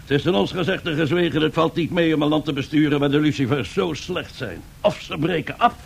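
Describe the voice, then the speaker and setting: An actor playing a pompous, boastful Italian fascist dictator in a radio drama, husky and given to grandiose speechmaking. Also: male voice, a little high, brisk, exaggerated voice. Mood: boastful persuasion. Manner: grandiose, self-satisfied, rhetorical speechmaking. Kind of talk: 1970s radio drama acting.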